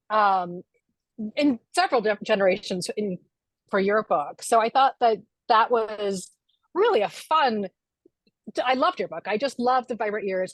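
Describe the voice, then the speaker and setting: An American woman author in casual conversation at a library event, chatty and animated, often laughing. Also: medium pitch, no room sound. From United States.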